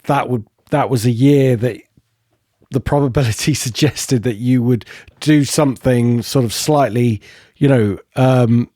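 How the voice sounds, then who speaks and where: A man co-hosting a podcast muses aloud in a hesitant way, feeling for his words as he goes. His voice is dark and mellow. The sound is dry and close, with no room in it. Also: medium pitch, measured pace, dry recording. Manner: musing, hesitant, thinking aloud. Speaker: podcast co-host. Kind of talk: podcast interview.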